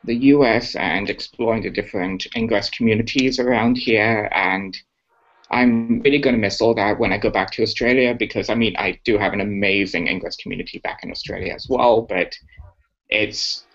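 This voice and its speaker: Upbeat, chatty young male guest with a lowish voice; lo-fi web-call sound. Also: normal speed, fairly bright tone. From Singapore. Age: young adult. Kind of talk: casual interview chat. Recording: muffled lo-fi call audio.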